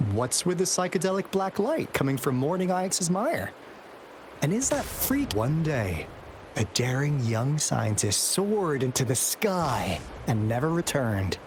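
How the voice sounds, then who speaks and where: A man's voice: medium pitch, background noise. A man voicing a playful, theatrical young investigator in a video game, teasing and telling stories dramatically. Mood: dramatic, mysterious. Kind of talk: video game character dialogue.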